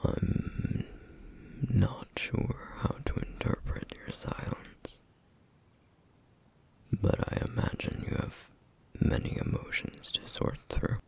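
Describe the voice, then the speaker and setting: A man playing a somber, duty-bound, protective flame demon in an ASMR roleplay, speaking in a hushed, halting, formal way with a rough, breathy voice. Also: natural voice. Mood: solemn, gentle. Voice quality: breathy, husky, rough whisper. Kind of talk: ASMR roleplay, intimate scripted monologue.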